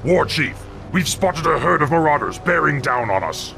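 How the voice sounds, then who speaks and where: An actor playing a loyal orc soldier in a fantasy game, reporting dutifully to his chief in a gravelly voice. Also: fairly high pitch, very animated, normal speed, music in the background. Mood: alert. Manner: dutiful, emphatic military reporting. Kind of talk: fantasy video game acting.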